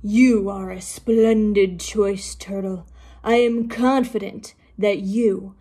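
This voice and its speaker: A regal dragon king from a children's storybook, voiced by a woman. The delivery is stately, slow and emphatic, with a commanding royal air. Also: medium pitch, fairly even intonation, dark tone, breathy edge. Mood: hopeful, commanding.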